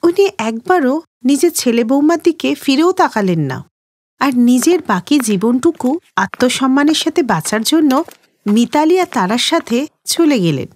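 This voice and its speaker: A woman's voice: high-pitched, big pitch swings, normal speed, darkish tone, dry recording, studio quality. A woman giving scripted storytelling narration as the narrator of a tale.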